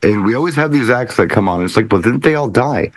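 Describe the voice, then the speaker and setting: An American man chatting casually as a panelist, musing his way through stories in a halting manner. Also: mid-range voice, expressive, slight background noise. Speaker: panelist on a DJ talk show. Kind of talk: casual chat.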